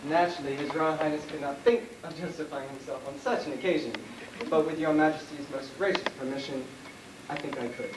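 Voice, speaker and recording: A man playing a smooth-talking, deferential prince's attendant in an amateur stage comedy, polite and measured. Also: a little high, steady tone, natural voice. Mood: politely persuasive. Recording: distant, echoey, noisy stage recording.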